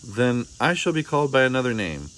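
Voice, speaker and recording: A man's voice: medium pitch, very animated, fairly quick, a bit bright, a little hiss or hum, voice pushed up. A man voicing a stubborn, questioning young anteater boy in a children's picture book read-aloud. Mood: insistent, stubborn. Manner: insistent, questioning.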